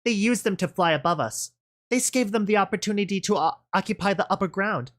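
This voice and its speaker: An earnest little filly in a dark pony story, voiced by a man. The pitch sits well above a usual male voice. She explains things in a deferential, matter-of-fact way. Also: fairly quick.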